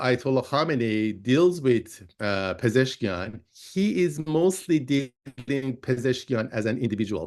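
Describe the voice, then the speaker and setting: A man's voice: medium pitch, darkish tone. A man explaining his analysis on a panel discussion, thinking aloud as he goes. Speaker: policy analyst.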